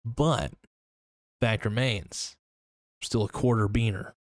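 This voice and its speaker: A man's voice: on the low side, slow pace, no echo. A young man hosting a casual solo podcast, rambling through his thoughts with lots of fillers and restarts. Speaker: podcast host.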